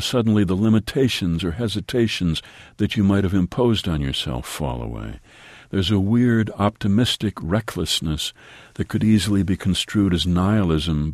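A very deep-voiced man reads a scripted radio segment close to the mic. His delivery is slow and measured, each line sinking at its end. The sound is dry, with no room around it. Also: darkish tone, no echo. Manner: slow measured reading with falling line ends. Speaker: radio host.

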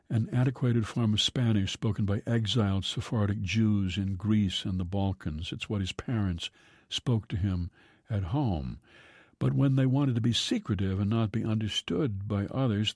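A man hosting a radio segment, reading an almanac and a poem in a calm, measured way with slow drawn-out line endings. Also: lowish voice, husky, no room sound, clean studio sound. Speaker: radio host.

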